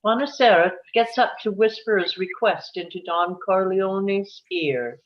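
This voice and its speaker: A woman's voice: mid-range voice, unhurried. A woman narrator reads out screenplay scene headings and directions. The delivery is scripted narration, and the sound is a little dull and lo-fi. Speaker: narrator reading stage directions.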